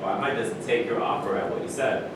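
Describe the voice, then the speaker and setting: A man's voice: a little fast, lightly acted. Man acting cocky sports star in echoey room. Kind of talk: staged reading of a play. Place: echoey room at a live staged reading. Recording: very echoey room, distant mic, loud rumbly noise.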